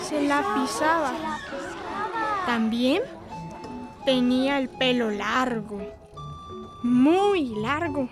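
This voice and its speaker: An earnest little girl in a radio drama, high-pitched and unhurried. She spins a tale with wonder, stressing and repeating words, over clear music. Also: exaggerated voice. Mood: wondering, animated. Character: little migrant girl storyteller, earnest and imaginative.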